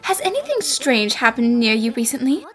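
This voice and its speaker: A woman voicing an excitable, inquisitive young female detective in an animated fan dub, with expressive delivery. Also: a little high, normal speed, soft background music. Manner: expressive, exclamatory.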